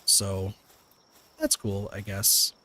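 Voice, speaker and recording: A man's voice: on the low side, level tone, very slow, pushed and bright. A man with a soothing voice, casually chatting with viewers while streaming a game and rambling with lots of fillers and restarts, now and then swearing mildly. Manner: mild swearing.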